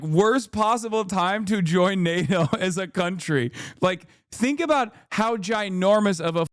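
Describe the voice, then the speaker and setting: A man in his thirties streaming casual news commentary, animated and sarcastic, laughing often and swearing freely. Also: sits high, dry recording, clean studio sound.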